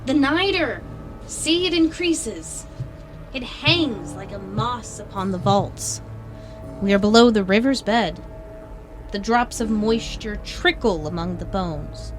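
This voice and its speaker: A woman acting in an audio drama as a scheming aristocrat, speaking formal archaic lines with measured pauses and feigned concern. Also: medium pitch, expressive, faint music behind, rough recording. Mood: ominous, stately. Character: scheming aristocrat feigning solicitous friendship, secretly vengeful.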